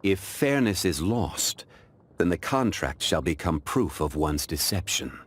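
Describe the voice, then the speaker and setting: Ancient immortal man, game character, very deep voice, slow deliberate delivery, composed, refined, wise. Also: sing-song, husky, full character voice. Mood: solemn. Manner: measured, formal, refined, deliberate. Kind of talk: scripted video game character voice lines.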